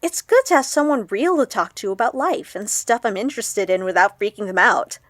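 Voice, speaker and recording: A woman playing an awkward, excitable young woman vlogger in an audio drama, chatty and expressive. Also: mid-range voice, fairly quick, no echo, clean studio sound, close to an everyday voice. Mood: wistful, confiding. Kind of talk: audio drama acted as a confessional vlog.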